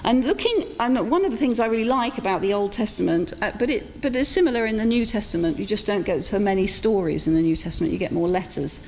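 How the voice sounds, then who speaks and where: A middle-aged woman giving an informal, reflective sermon in a conversational storytelling style, with pauses for emphasis. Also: mid-range voice, animated, darkish tone. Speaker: preacher. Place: church interior, speaking to a congregation. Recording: echoey church hall with background noise.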